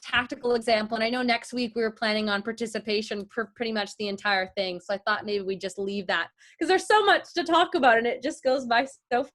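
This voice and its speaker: A woman's voice: a little high, fairly quick, hardly any pauses, a bit bright. A woman teaching an informal online lesson, explaining and answering questions in a conversational way. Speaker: voice-over artist teaching a lesson.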